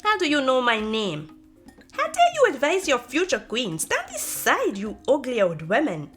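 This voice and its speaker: A mean, haughty young storybook beauty, acted by a woman, scolds imperiously in a raised voice. Her pitch swings sharply with scornful temper, over background music. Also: huge pitch swings, normal speed, a bit bright, heavily acted. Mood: scornful, angry. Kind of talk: storybook character voice.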